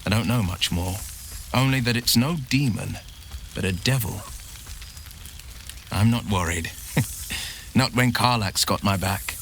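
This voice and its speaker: An actor playing a loyal, noble-minded adventurer with wry humour and an earnest storytelling manner, in a video game. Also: male voice, lowish voice, measured pace, pauses often, a bit bright, slight background noise. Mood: confident. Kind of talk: video game dialogue acting.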